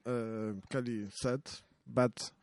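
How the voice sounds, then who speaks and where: Slow, smooth-voiced man from Poland. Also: medium pitch, little pitch movement, no echo. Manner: hesitant, self-deprecating. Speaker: panel speaker.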